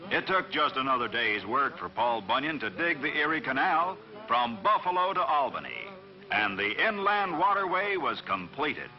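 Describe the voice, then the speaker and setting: A man narrating a scripted children's folk tale in a dramatic, expressive storytelling manner. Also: a little high, normal speed. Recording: dull vintage recording, faint music behind.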